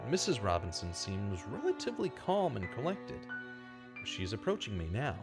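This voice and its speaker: A man's voice: on the low side, huge pitch swings, normal speed. A man acts a small-town investigative journalist in a vintage-style radio drama. He is earnest and confiding, with a dramatic, varied intonation over prominent music. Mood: grave, confiding. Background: prominent instrumental music.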